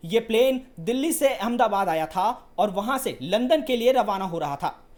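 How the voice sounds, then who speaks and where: A woman's voice: fairly high pitch, a little mellow. A woman news presenter delivering scripted news narration.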